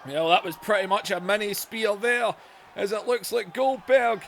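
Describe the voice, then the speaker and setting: A man commentating a wrestling video game, shouting at big moves as his voice goes hoarse. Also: sits high, normal speed, slight background noise. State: voice going hoarse.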